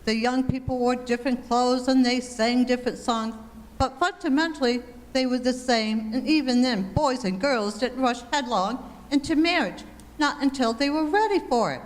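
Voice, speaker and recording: A woman's voice: sits high, moderate pace, lightly acted. A woman acting a patient, wry middle-aged wife and mother in a 1940s-style radio comedy. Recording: reverberant stage performance.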